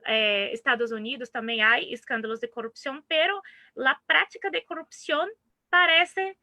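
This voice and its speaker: A Brazilian woman whose first language is Portuguese, giving a seminar lecture in halting Spanish with Portuguese mixed in and frequent restarts. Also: high voice, fairly even intonation, pushed and bright, no room sound. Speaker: seminar speaker.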